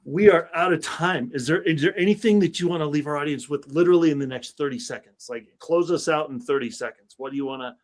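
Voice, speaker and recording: A man's voice: fairly high pitch, fast, few pauses. A man hosting an interview podcast, upbeat and chummy, often calling his guest "man.". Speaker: podcast host.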